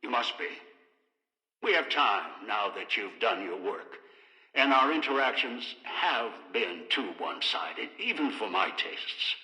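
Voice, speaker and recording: Echoing, imperious old schemer. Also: male voice, fairly low pitch, big pitch swings, pushed and bright, heavily acted. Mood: gloating, possessive. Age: older. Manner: deliberate, imperious. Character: domineering, scheming old man issuing cold ultimatums. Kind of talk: video game voice acting. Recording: echoing hall, long reverb, distant.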